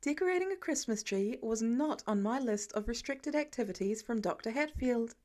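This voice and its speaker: A woman's voice: a little high, animated, normal speed, darkish tone, natural voice. A woman voicing an exasperated, teasing wife in a story, with a wry, firm manner.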